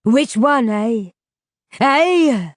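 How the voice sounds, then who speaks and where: A woman voicing a menacing scaly male ferryman who croaks and speaks slowly and threateningly in a throaty rumble. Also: expressive, no echo, studio quality. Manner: slow, drawn-out and threatening. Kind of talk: audiobook character voice.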